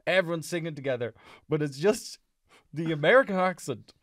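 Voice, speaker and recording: An Irish man co-hosting a casual comedy podcast, an animated storyteller who keeps breaking into mock voices. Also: a little high, a little fast, no room sound, studio quality. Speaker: podcast co-host.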